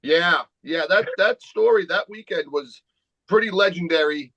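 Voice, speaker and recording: A man's voice: sits high, unhurried, no echo. Laid-back man on a dull, dry line. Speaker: podcast guest. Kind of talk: casual conversation.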